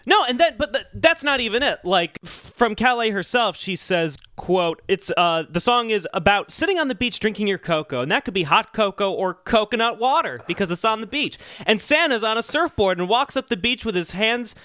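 A man's voice: sits high, expressive, normal speed, hardly any pauses, pushed and bright, no echo, clean studio sound. A man co-hosting a comedy podcast in a casual, earnest, rambling and somewhat defensive way. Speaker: podcast co-host.

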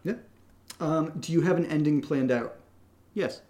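A man's voice: a little high, unhurried, darkish tone. A man co-hosting a podcast in casual chat, answering listener questions in a playful, opinionated way with short, punchy verdicts. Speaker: podcast co-host and creator.

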